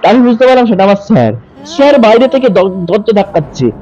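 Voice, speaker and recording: A man narrating a horror story in the first person, suspenseful, with dramatic pauses and repetition. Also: sits high, expressive, dark tone, lo-fi sound.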